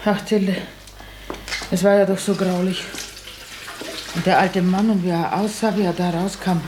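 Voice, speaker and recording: A woman's voice: on the low side, steady tone, normal speed, noisy background, natural voice. An actress playing an anxious, gossipy old mother in a German radio play, with a fretful, rambling, homely way of talking. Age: older.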